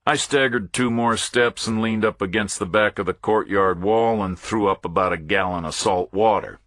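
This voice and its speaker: A man with a deep, rough-edged voice narrates a first-person thriller audiobook. His phrasing is terse and clipped, falling into short, separate statements. The recording is very dry, with no room sound around the voice. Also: fairly bright tone, no room sound.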